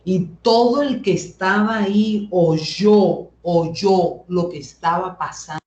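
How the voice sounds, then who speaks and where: A woman with a Colombian Caribbean coast accent teaching an online Bible lesson, explaining haltingly and repeating phrases as she goes. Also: fairly low pitch, a little mellow, highs cut off. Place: indoor room, online class. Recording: echoey room, online call audio.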